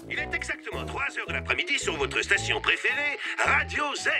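Peppy radio announcer for a cartoon, high and really bouncy, music on. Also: male voice, sing-song, fairly quick. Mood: upbeat. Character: brisk, upbeat male radio announcer.

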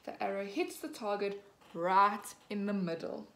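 A young woman in her twenties, a teacher, reading a picture book aloud to children slowly and clearly, acting out the characters. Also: mid-range voice, expressive, a bit bright. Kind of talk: children's storybook read-aloud. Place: small room at home, indoors. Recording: echoey room, faint background hiss.

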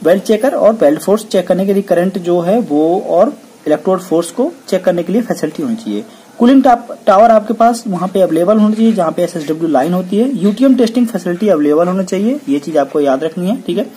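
A woman giving a technical lesson on welding. She reads each item off a checklist and explains it, with a steady "okay" after every point. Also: fairly low pitch, a little fast, slightly rough, rough recording. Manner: repeats "ठीक है" after each point, reads English terms then explains in Hindi. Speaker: welding instructor.

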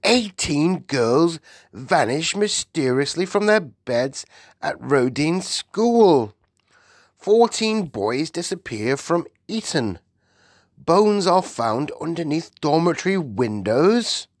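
A woman's voice: low voice, sing-song, slow, no echo, clean studio sound, voice pushed up, full character voice. A woman voicing an imperious, well-bred Queen in an audiobook, with grand, emphatic, exclamatory delivery. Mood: horrified.

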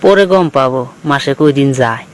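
A man's voice: mid-range voice, lively intonation, normal speed, smooth, lightly acted. A man voicing a bitter, jilted young man in a cartoon, complaining and admonishing in an eastern Bengali dialect. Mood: bitter, aggrieved. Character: jilted, bitter young man cautioning his lovesick friend. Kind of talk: cartoon voice acting.

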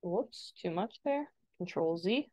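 A woman's voice: lowish voice, expressive, slow pace, warm tone, lo-fi sound. Casual American woman giving a tutorial. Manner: informal, instructional, talking through each action as it is done. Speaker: instructor giving a software tutorial. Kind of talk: casual step-by-step screen demonstration.

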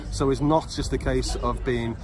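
A man's voice: mid-range voice, steady tone, moderate pace, crowd noise. Man, forties, thoughtful, lots of ums, phone close-up, outdoors at a festival. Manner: thoughtful, weighs points aloud with fillers. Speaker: interviewee. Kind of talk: casual political chat. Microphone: phone held close for a selfie video.

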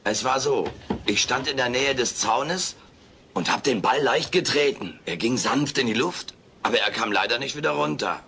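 A gravelly, bright-voiced alien houseguest, cheeky and sarcastic. He sounds rough and husky, with the glib manner of a sitcom wisecracker who never takes the blame. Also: mid-range voice, animated, normal speed, heavily acted. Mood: brazenly evasive. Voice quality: raspy, gravelly. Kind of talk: German-dubbed sitcom audio play.

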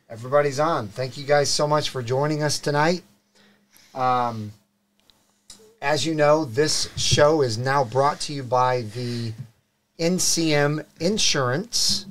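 A man's voice: mid-range voice, a bit bright. A middle-aged man hosting a live car podcast, greeting viewers and reading sponsor plugs in an upbeat way. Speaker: show host. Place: studio set with desk mics. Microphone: desk mic on boom arm.

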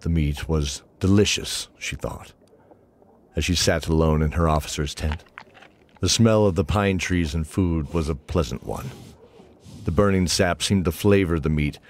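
A man's voice: extremely low pitch, unhurried. A man narrating a scripted fantasy audio drama. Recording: voice over prominent music.

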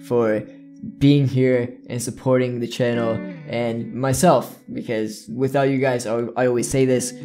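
A young man talks casually to camera, like a video creator addressing his followers. His voice sits at an average pitch for a man. He rambles and circles back over his own phrases, with plucked guitar music playing behind him. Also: little pitch movement, a little slow, warm tone. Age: young adult. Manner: rambling, repeats himself. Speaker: video creator, gaming YouTuber. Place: small indoor room with plain backdrop.

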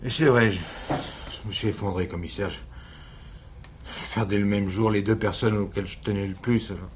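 An actor playing a wounded, weary husband suspected of murder, halting and defensive, in a French radio crime drama. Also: male voice, fairly low pitch, fairly even intonation, close to an everyday voice. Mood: bitter, hurt. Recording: noisy old radio drama recording.

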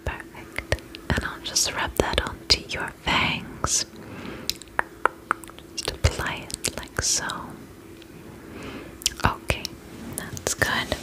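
A woman whispering breathily in a gentle, soothing, reassuring way as a spa attendant in an ASMR personal-attention roleplay. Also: very slow, pauses often, rough recording.

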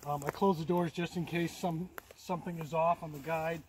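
A man's voice: on the high side, steady tone, moderate pace. A middle-aged man giving a casual, plain-spoken hands-on demonstration as he works outdoors, a few steps from the camera. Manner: plain-spoken, explanatory while working. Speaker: vlogger demonstrating his sawmill. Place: outdoors in a wooded clearing. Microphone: camera a few steps away. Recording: outdoor camera audio, clear background noise.

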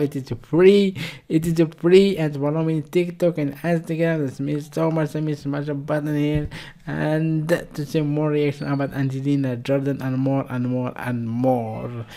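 A man in his thirties with an Algerian accent, hosting a casual reaction vlog in a halting, repetitive way. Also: medium pitch, mellow. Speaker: reaction video host. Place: small room indoors.

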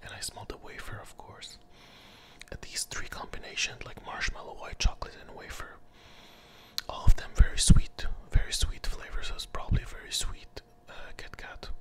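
Someone whispering asmr style reviewing candy, kinda hesitant, says stuff twice. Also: moderate pace.

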